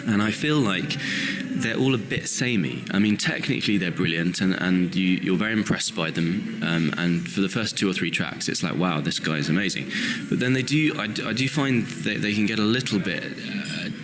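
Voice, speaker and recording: A man's voice: low-pitched, expressive, moderate pace, over music. A young adult man talking casually as an interview guest, hesitant, with many restarts and fillers. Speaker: interview guest, actor and singer.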